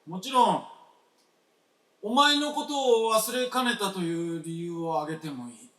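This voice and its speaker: A man's voice: high-pitched, unhurried, fairly bright tone. A man playing a self-doubting, blunt and resigned husband in a radio drama, with terse, old-fashioned masculine speech. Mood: defensive. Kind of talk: staged radio drama acting. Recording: echoey stage, distant mic.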